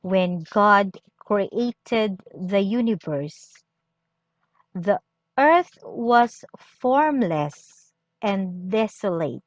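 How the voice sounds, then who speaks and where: A woman reads scripture aloud in a solemn, deliberate style, in short phrases with pauses between them, on a dull recording. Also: mid-range voice, dry recording. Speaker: scripture reader. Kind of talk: slow, solemn scripture reading.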